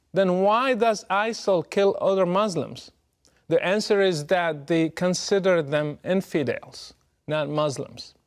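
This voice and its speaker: A man speaks English with a Moroccan Arabic accent in a fairly high-pitched voice. He delivers a prepared address earnestly, leaning on rhetorical questions and firm emphasis. His phrasing is measured and deliberate. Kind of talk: prepared political address to camera.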